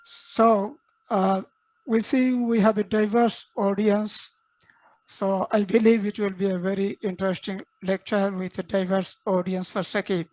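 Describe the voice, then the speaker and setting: A woman's voice: mid-range voice, little pitch movement, measured pace, darkish tone, dry recording, low-quality recording. A woman hosting an online meeting, formally calling on attendees by name and introducing the speaker. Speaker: meeting host. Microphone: video call.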